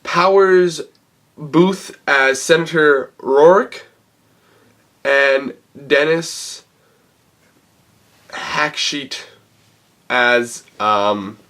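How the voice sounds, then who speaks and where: A man with a cold gives a casual, rambling film review, halting as he searches for words. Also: fairly high pitch, fairly bright tone. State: has a cold. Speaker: movie reviewer and channel host.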